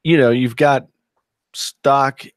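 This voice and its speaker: A man with a mid-pitched voice chats on a tech podcast, relaxed and wry, with stops and restarts. The recording is close and dry. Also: takes it slowly, no room sound. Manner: easygoing, wry, with hesitant restarts. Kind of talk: casual podcast chat. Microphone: studio microphone.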